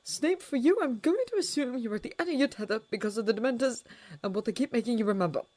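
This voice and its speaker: A woman voicing a shaken, unsteady woman on the edge of tears, raised in pitch. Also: animated, normal speed, mellow, no echo. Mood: tearful. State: tearful, unsteady.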